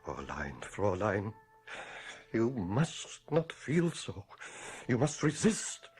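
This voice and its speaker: Worried serious doctor, slow but swoopy delivery, old radio play. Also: male voice, fairly low pitch, sing-song, darkish tone, husky, exaggerated voice. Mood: grave warning. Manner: formal, halting, grave. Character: earnest, anxious male doctor, grave and warning. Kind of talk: 1980s radio drama acting.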